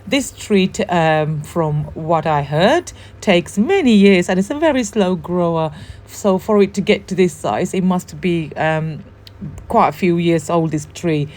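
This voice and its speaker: Hoarse lady w the flu doing a chatty plant vlog, lots of ums, lowish voice. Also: animated, a little slow, a little mellow. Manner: chatty, frequent ums, fond and eager. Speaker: gardening channel host. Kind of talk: casual show-and-tell vlog.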